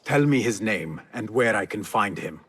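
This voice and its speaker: An actor playing a determined, serious young assassin in a video game, speaking in an earnest, terse way. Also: male voice, lowish voice, animated, moderate pace, fairly bright tone, slightly rough. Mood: serious, focused. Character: determined, serious young male assassin, former street thief.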